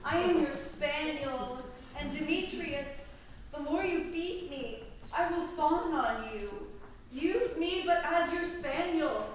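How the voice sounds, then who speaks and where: A woman's voice: high-pitched, takes it slowly. Woman acting a lovelorn young woman, echoey stage. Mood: pleading, adoring. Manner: earnest, theatrical. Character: lovelorn young woman, devoted, pleading, stubbornly pursuing. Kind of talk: staged reading of Shakespearean verse. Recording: echoey room from far off, faint noise.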